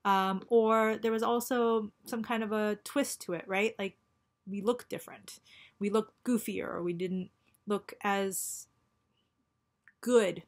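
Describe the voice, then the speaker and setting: A woman in her forties, born in Japan and raised in Canada, talking in a personal video diary. She speaks in a halting, reflective way, full of ums and restarts, while going through chemotherapy. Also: medium pitch, steady tone. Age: 40s. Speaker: vlogger.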